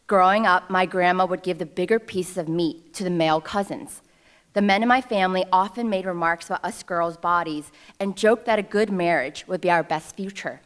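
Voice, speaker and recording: A woman's voice: lowish voice, normal speed. Wry woman in her thirties telling a story. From New York City. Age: 30s. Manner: wry, self-deprecating humor. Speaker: alumna speaker at a ceremony. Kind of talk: personal storytelling speech. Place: ceremony stage before an audience.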